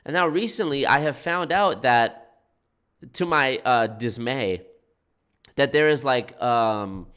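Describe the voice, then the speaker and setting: A man's voice: medium pitch, slow, pauses often, a bit bright, smooth. Congested, nasal-sounding man livestreaming. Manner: rambling, self-correcting, frequent restarts. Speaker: anti-MLM YouTube creator. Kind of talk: casual livestream talk to chat.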